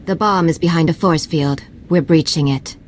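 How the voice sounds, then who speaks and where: A woman's voice: low voice, normal speed, a little hiss or hum, lightly acted. A woman playing a terse, commanding commando on a covert mission in a video game, speaking with urgency. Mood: urgent, focused. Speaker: military field operative. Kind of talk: scripted video game acting.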